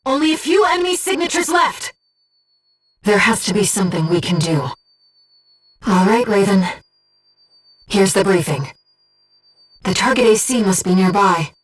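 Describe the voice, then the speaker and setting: A woman voicing a disembodied female guide who speaks in a pilot's head as mission operator in a video game, with a soft, breathy voice and a precise, earnest delivery. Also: mid-range voice, lively intonation, normal speed, pauses often, distant mic, faint music behind, close to an everyday voice. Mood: urgent, alert, then soft, sorrowful. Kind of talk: scripted video game voice lines, mission briefings and battle callouts.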